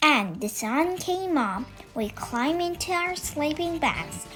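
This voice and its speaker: A woman's voice: high-pitched, animated, slow pace, over music. A young girl of about eight reading a children's story aloud, earnest and careful, with occasional stumbles. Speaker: young reader of a children's book read-aloud. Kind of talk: expressive storybook read-aloud narration.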